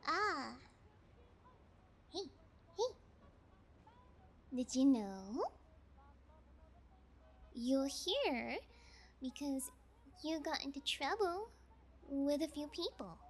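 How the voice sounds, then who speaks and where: A woman's voice: high voice, slight background noise, full character voice. A woman playing a sadistic, playful female kidnapper in an intimate ASMR audio roleplay, teasing with a sing-song menace. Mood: mocking, amused.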